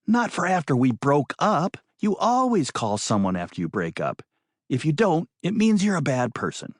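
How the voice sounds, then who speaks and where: A man plays a bossy ex-girlfriend in an audiobook. She lectures with great emphasis and exasperation, her pitch raised and swinging widely. The recording is dry and close. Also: huge pitch swings, normal speed, no echo. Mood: bossy, exasperated. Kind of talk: audiobook character voice.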